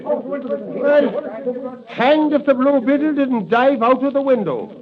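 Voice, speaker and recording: An actor playing a brash, blustering police officer in an old-time radio drama. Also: male voice, very high-pitched, slow pace. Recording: tinny lo-fi vintage radio recording.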